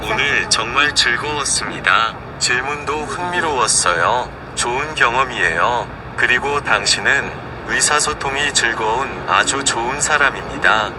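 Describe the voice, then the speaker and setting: A synthetic male voice from a translation device reading out translated sentences in a flat, measured way. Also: mid-range voice, distant mic. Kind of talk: machine translation readout. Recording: device speaker heard from afar over street noise.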